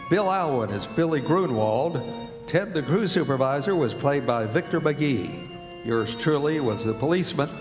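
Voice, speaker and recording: A man working as announcer in the style of old-time radio, reading credits and sponsor copy. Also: on the high side. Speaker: announcer and director. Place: performing arts center stage. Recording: echoey hall with music.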